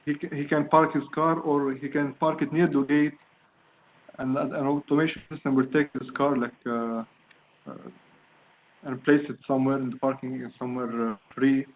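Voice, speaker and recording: Halting man from Lebanon on a call. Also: mid-range voice, flat delivery. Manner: halting, searching for words, many fillers. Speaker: student presenting a project. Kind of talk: online video call presentation. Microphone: video call. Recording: tinny phone-like call audio, faint noise.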